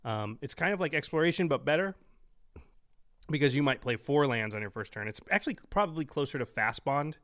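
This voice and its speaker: A man's voice: on the high side, expressive, brisk, a bit bright. A man co-hosting a podcast in a casual, conversational style. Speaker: podcast co-host. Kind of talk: casual chat.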